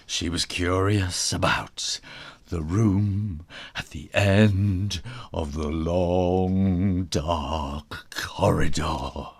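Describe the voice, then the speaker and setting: Very slow, theatrical male storyteller, swooping pitch. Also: gravelly. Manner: theatrical, suspenseful, drawn-out words and whispered asides; playful onomatopoeia and repetition. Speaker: author reading own children's story. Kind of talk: dramatic children's storybook read-aloud.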